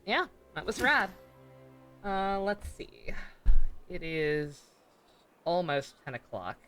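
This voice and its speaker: A man's voice: high voice, expressive, slow pace, sharp tone, faint music behind. A man giving rambling, enthusiastic commentary as a casual game streamer.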